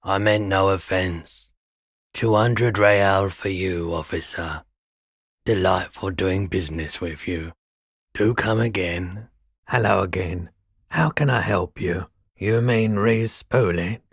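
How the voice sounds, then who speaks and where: A mellow, philosophical pawnbroker for a video game. His voice is very low and he talks very slowly, with a languid, cryptic, unruffled manner. The recording is close and very dry. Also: creaky, husky, no echo, full character voice. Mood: calm, businesslike. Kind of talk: scripted game dialogue.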